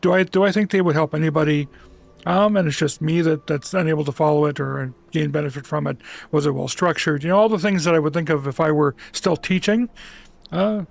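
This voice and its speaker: A man chatting casually on a home livestream through a headset mic, rambling with lots of restarts and fillers in a relaxed, soft-spoken way. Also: a little high, a little fast, creaky. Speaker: streamer.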